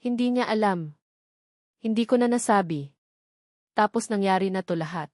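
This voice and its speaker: A woman's voice: medium pitch, moderate pace, darkish tone, no echo, studio quality, lightly acted. A woman with a Filipino accent voicing a hurt, resolute young heroine in an audio story, earnest and guarded. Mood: confessing.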